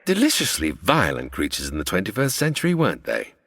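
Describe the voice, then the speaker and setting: A cold, scheming villain for a comedy audio drama, with smooth, menacing speech that stretches out its threats. The pitch swings widely, and the sound is dry and close. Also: male voice, huge pitch swings, fairly quick, a bit bright, no echo, full character voice.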